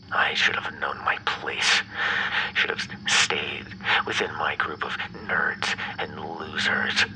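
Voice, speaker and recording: A man playing an obsessive, bitter, menacing ex-lover in an ASMR roleplay, speaking in a breathy, brooding half-whisper. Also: rumbling low, bright, forceful tone, full character voice, poor audio. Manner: slow, brooding, theatrically menacing. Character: obsessive, bitter yandere male vampire ex-lover, menacing captor.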